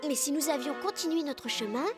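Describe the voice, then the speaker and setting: Woman as a high-voiced sensible girl rabbit. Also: fairly quick, over music, close to an everyday voice. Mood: cautious, decisive. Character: sensible, kind young female rabbit companion. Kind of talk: French cartoon character acting.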